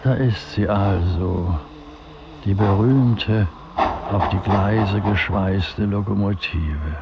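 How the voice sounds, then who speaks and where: A man playing a wry old wizard in a radio drama, making dry, mocking asides in a gravelly voice. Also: lowish voice, slow pace, muffled recording, very noisy.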